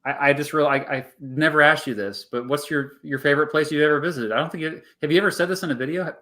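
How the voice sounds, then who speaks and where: Halting, chatty American man hosting. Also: fairly high pitch, fairly even intonation, sharp tone. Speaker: YouTube host in a two-way interview. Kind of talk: casual unscripted chat.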